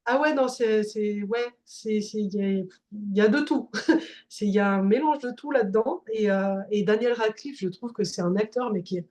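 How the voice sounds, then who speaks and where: A woman talks casually on a podcast, her voice sitting in an ordinary middle pitch. She stops and restarts often, filling gaps with small hesitations. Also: normal speed, a little mellow. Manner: hesitant, many restarts and fillers. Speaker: podcast guest. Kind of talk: casual chat.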